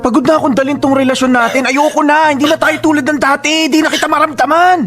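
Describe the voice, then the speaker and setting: An actor playing a controlling, proud husband in a radio drama, defensive, exasperated and fed up. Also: male voice, extremely high pitch, steady tone, fast talker, fairly bright tone. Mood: weary, exasperated. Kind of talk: Tagalog radio drama acting. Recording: music behind the voice.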